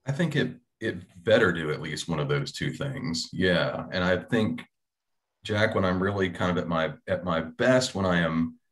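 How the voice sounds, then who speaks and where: A man's voice: deep voice, normal speed, a bit bright. A middle-aged man speaking thoughtfully as a panelist in an unscripted discussion on a video call, acting out imagined asides. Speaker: panelist, audio drama writer. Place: small room at home, indoors. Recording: noisy video-call audio.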